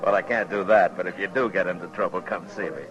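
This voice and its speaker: A man acting a calm frontier marshal with a very low voice and measured authority. He is laconic and firm, heard on a muffled vintage radio drama recording. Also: music in the background, lightly acted. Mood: easygoing.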